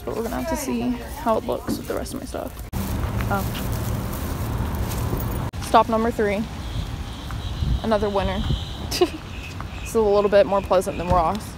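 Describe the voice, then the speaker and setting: A young woman chatters to her phone camera in a casual shopping vlog, sprinkling "like" everywhere. Her voice sits a little high. Heavy car and street noise runs under her. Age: young adult. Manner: chatty, filler-heavy, lots of "like". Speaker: vlogger. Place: in a car and in stores. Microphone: phone camera at arm's length. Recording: loud road and street noise.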